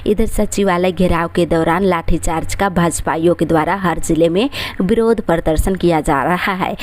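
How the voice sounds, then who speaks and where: A woman's voice: fairly low pitch, darkish tone. A woman news presenter reads a scripted bulletin in a formal, formulaic headline-reading manner.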